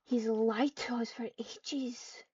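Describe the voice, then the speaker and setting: A woman's voice: fairly high pitch, steady tone, takes it slowly, darkish tone, husky, heavily acted. A woman playing an accusing, hot-tempered cat in an online roleplay game, speaking bluntly and scornfully. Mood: angry, accusing. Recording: muffled lo-fi mic, distant.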